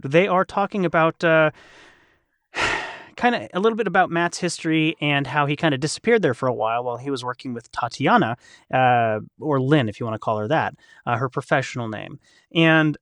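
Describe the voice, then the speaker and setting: A man's voice: mid-range voice, fairly bright tone, dry recording. Man, podcast host. Conversational and keen, wanders through questions, dry studio-tight sound. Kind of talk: casual chat.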